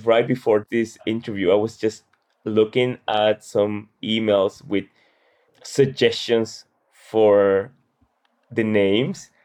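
A man from Peru speaks as a guest in a casual interview. His voice is on the low side, and he explains things in a conversational way. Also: fairly even intonation, slow pace, a little mellow. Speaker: guest, comedian and satire writer.

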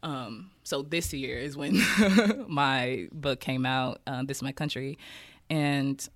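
A man's voice: fairly high pitch, no echo, clean studio sound. A man in his early twenties with an American accent, talking casually and candidly as an interview guest. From Kansas City, United States. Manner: candid, informal, swearing freely. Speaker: interviewed poet and musician.

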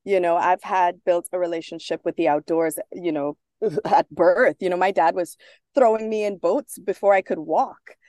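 A woman from Trinidad, a guest author speaking in a relaxed interview, thoughtful and sprinkled with fillers and restarts. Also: lowish voice, moderate pace, no room sound, studio quality. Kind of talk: casual interview talk.

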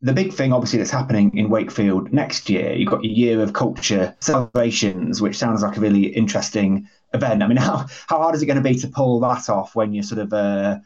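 A man's voice: on the low side, a little fast, hardly any pauses, a little mellow. A man interviewing a guest, asking chatty, rambling questions. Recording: muffled audio.

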